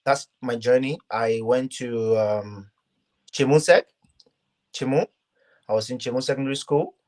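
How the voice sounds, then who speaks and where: A young man from Ghana, speaking as a guest on an online interview show. He talks earnestly and haltingly, restarting phrases as he goes, at a middle pitch. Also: a little mellow. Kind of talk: casual interview talk.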